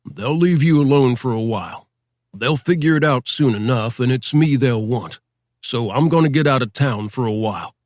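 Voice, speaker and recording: A man's voice: medium pitch, lively intonation, normal speed, darkish tone, no echo, natural voice. Cool tough guy, level delivery, dry, man reading. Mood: steady.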